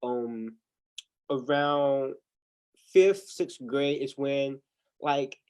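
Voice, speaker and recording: A man's voice: mid-range voice, drawn-out words, a little mellow, clean tone. A young man hosting a livestreamed interview on a video call, chatty, often repeating words as he restarts. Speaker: interview host.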